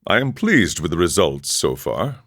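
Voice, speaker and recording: A calm weary wandering monk for a video game, really deep male voice, formal and philosophical, lots of rise and fall. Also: slight background noise, heavily acted. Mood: wry, unbothered. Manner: measured, philosophical, formal speech. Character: serene, world-weary wandering ascetic monk. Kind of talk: video game character dialogue.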